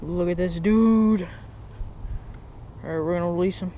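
A young man chatting casually and excitedly as he fishes, in slangy, exclamatory speech, recorded outdoors on an action camera. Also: sits high, slow, lo-fi sound, slight background noise. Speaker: fishing vlogger. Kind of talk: casual excited chat while fishing. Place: outdoors on a rocky reservoir shore. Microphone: body-worn action camera.

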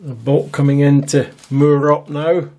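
A man talks over his own coastal footage in a relaxed, chatty voice-over, musing aloud and dropping wry little asides. His voice sits a touch high for a man. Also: measured pace. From Scotland. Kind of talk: casual voice-over commentary.

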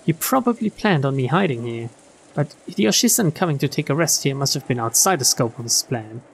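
A woman streamer reading visual novel text aloud in an expressive storytelling style. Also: extremely low pitch, fairly quick, gravelly, a little hiss or hum. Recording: game music behind the voice.

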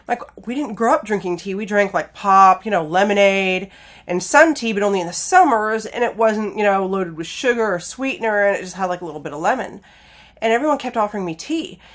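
Hoarse woman chatting to camera. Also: medium pitch. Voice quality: chatty, rambling, with many "like" and "you know" fillers. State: hoarse, recovering from a sinus infection. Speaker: beauty blogger reviewing a tea box. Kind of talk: casual chatty product review. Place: home studio with a sparkly backdrop. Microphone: camera framing, chest-up to a fixed camera.

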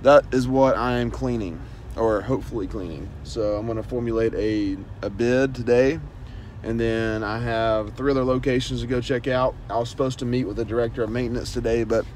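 A man's voice: medium pitch, steady tone, brisk, darkish tone. A young man talking casually to viewers while driving, filmed on a phone inside a car. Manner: casual, chatty, addresses viewers as guys. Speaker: pressure washing business owner. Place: inside a parked or moving car. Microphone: phone camera held at arm's length. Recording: phone recording in a car with road noise. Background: car and outdoor noise.

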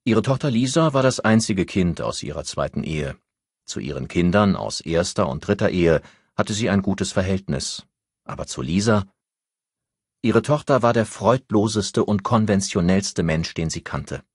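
A man with a very low, husky voice reads a novel as an audiobook narrator. The recording is dry and close, with scripted literary delivery. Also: expressive, moderate pace, no echo.

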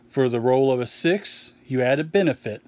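A man explaining a tabletop game's core rules in a casual walkthrough, relaxed with frequent ums and restarts, recorded over an open rulebook on a table. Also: mid-range voice, normal speed, no room sound. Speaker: game publisher explaining rules.

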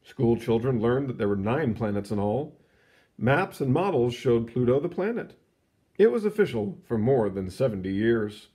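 A man reading a children's science book aloud in an expressive, explanatory storytelling manner. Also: medium pitch, normal speed, slightly rough. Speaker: read-aloud narrator.

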